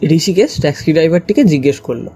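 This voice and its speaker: An actor playing a detective's curious companion, narrating the story in the first person with expressive storytelling. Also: male voice, on the high side, fast talker, slightly rough. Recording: music under the voice.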